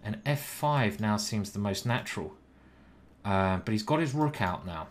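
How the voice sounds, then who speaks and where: A man's voice: lowish voice, animated, moderate pace. A young British man streaming live, chatting casually and thinking aloud about his chess moves.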